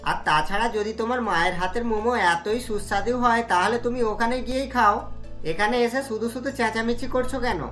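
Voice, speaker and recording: A woman voicing a plain-spoken momo shop waiter in a cartoon, polite but quick to retort. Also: fairly high pitch, a bit bright, pitched below the performer's own voice, lightly acted. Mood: offended. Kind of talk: cartoon story dialogue. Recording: music under the voice.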